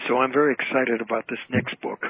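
An older man with a husky voice, telling anecdotes casually as a guest in a radio interview. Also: mid-range voice, fairly even intonation, quick pace. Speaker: guest, author and comedy emcee. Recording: tinny, narrow phone-line sound.

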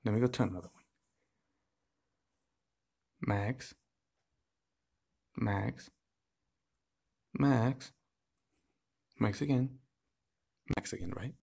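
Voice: mid-range voice, extremely slow, vocal fry, long pauses, a little mellow, breathy edge. A podcast host chatting casually to viewers in a vlog.

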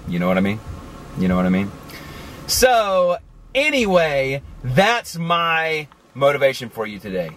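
A man's voice: mid-range voice, measured pace, a little hiss or hum. Chatty man vlogging from his car. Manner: animated and chatty, with many asides. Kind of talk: casual motivational talk to camera. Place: inside a parked car. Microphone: camera on the dashboard.

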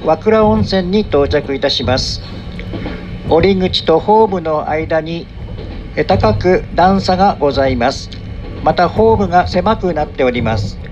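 A man working as a train conductor, making polite, formal onboard announcements phrase by phrase. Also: on the high side. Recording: dull train PA with music, vehicle noise.

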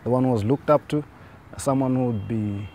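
A man's voice: mid-range voice, normal speed, muffled recording. A man answering interview questions about his family. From Zambia. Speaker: theology student.

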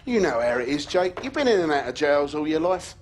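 An actor playing a hard, sneering London man in a crime game, with a gruff, taunting manner. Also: on the high side, sing-song, brisk. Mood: menacing.

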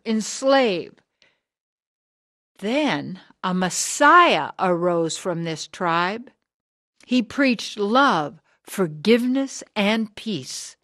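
Woman acting older woman, radio drama, hard, driven, slow deliberate rhetoric, mid-pitched, dry sound. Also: fairly bright tone, no room sound. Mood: indignant. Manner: deliberate, rhetorical, emphatic pauses. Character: hard, driven sixty-year-old woman, bitter anti-church preacher.

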